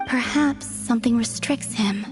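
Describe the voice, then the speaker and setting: A woman playing a serene, aloof young moon maiden in a video game, speaking softly in an unhurried, detached way. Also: on the high side, lightly acted. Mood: calm, aloof. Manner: unhurried, detached, cryptic, understated. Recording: music behind the voice.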